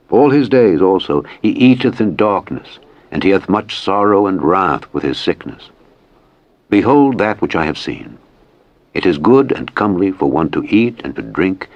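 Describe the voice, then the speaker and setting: Very deep-voiced man reading scripture. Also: dry recording, highs cut off. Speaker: scripture narrator. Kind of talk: solemn scripted Bible reading.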